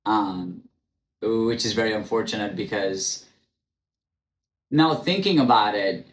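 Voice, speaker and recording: A man's voice: fairly low pitch, slow. A man hosting his own podcast, speaking English as a second language, talking frankly with stutters, restarts and many ums, now and then swearing. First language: not English. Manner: frank, blunt, swears casually. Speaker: podcast host. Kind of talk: candid solo podcast monologue. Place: room, recording on camera. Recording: echoey room, faint ambience.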